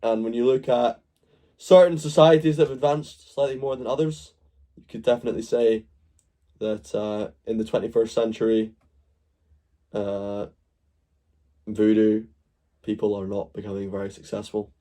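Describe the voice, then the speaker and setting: A man from Glasgow riffing on a live stream in a mellow, dark-toned voice. He rambles and jokes, with swearing sprinkled through his chat. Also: fairly low pitch, steady tone, moderate pace, pauses often. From Glasgow, Scotland. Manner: rambling, joking, swears freely. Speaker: livestream host. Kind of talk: casual live-stream commentary.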